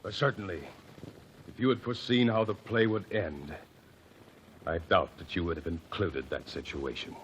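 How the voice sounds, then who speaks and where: An actor playing a methodical male courtroom investigator who presses accusations in a measured way, in an old radio drama. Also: deep voice, fairly even intonation, fairly bright tone. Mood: accusing. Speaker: lead detective. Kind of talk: 1940s radio acting. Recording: dull old radio broadcast with faint hiss.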